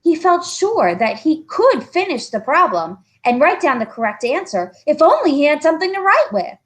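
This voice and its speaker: A woman narrating a children's book aloud, expressive and carefully phrased, as a storyteller. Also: high voice, normal speed.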